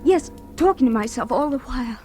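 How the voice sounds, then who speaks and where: An actress playing a fragile, panicked young woman in an old radio horror play, breathless, halting and repeating herself. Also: high-pitched, expressive, darkish tone. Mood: rising panic. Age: young adult. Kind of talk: 1940s radio horror acting, monologue. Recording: old radio drama with music underneath.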